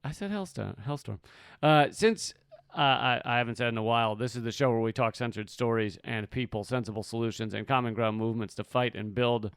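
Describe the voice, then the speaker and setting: A man's voice: mid-range voice, fairly quick, clear, resonant tone, dry recording, studio quality. A man hosting a political podcast in casual chat, joking, swearing freely and laughing often. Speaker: podcast host.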